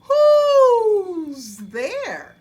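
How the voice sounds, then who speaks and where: A woman's voice: very high-pitched, huge pitch swings, very slow, darkish tone, slight background noise, voice pushed up, heavily acted. Storybook owl, male: busy, clipped, repeats himself, swooping intonation; woman performer. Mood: startled. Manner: clipped, repetitive phrases. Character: busy, brusque male owl fixing his nest. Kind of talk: children's bedtime storybook voice.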